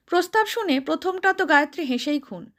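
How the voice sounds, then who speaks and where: উঁচু গলার মহিলা গল্পকথক. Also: female voice, very high voice, expressive, brisk, a bit bright. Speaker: storyteller. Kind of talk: expressive narration of a Bengali short story.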